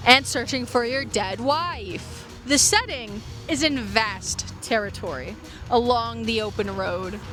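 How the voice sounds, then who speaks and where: A woman with a fairly high, clearly bright voice narrates a scripted video essay. Her phrasing is wry and emphatic, with informal joking asides. Soft music plays behind her.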